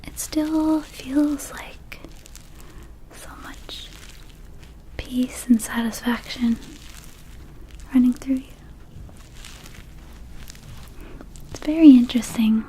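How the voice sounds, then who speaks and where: A woman voicing a small, laid-back, slightly shady vampire dispensary keeper in an ASMR roleplay, whispering softly in a rambling, casual sales patter. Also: high voice, slow pace, long pauses, rough recording. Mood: dreamy, casual. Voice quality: soft, breathy whisper.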